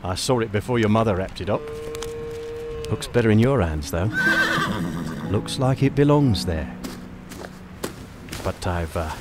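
A hearty rustic farm father from the 1800s in an audio drama. He takes his time, with big swings of pitch, over loud music. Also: animated, unhurried, long pauses. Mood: warm, proud. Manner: folksy, hearty, rustic turns of phrase. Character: warm, hardworking 19th-century farmer father. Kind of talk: family audio drama acting.

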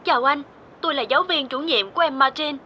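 A woman's voice: high voice, quick pace, noisy background. A woman voicing a polite, concerned schoolteacher in a Vietnamese cartoon, through a telephone filter. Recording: dull phone-call sound over music.

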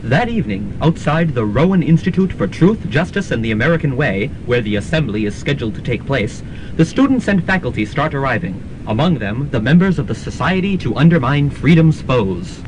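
A man's voice: big pitch swings, fairly quick, lightly acted. A man playing an earnest narrator of a student radio serial, reading scripted narration. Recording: noisy rumbling student radio recording.

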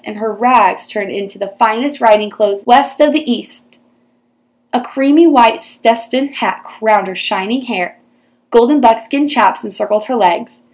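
A woman teacher reading a children's storybook aloud with expressive, dramatized storytelling. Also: on the high side.

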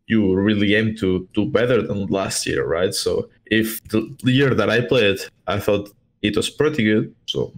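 Young man, low-pitched. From Portugal. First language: Spanish. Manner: rambling, many fillers and restarts. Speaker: interview guest, esports player. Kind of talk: casual interview over video call. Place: small room at home. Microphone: headset on video call.

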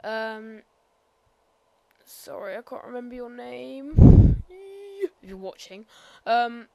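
A woman giving a casual, rambling game tour voiceover, hesitant and full of ums and apologies, sometimes bursting out loud. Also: on the high side, slow, vocal fry, no room sound. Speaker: gameplay commentator.

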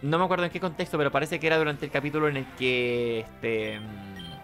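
A man's voice: medium pitch, brisk, fairly bright tone, soft background music. A man giving casual, rambling let's play commentary, talking to himself. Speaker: gaming host.

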